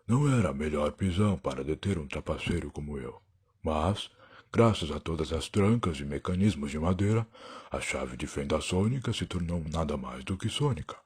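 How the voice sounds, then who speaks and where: A man performs a weary, sardonic alien wanderer telling his own dark tale in an audio drama. The voice is extremely low and very rough, with a Brazilian accent. He is theatrical, brooding and defiant. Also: expressive, normal speed, exaggerated voice. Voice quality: husky, gravelly. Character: weary, defiant alien time-traveler, sardonic adult male. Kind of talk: dramatic first-person audio drama narration.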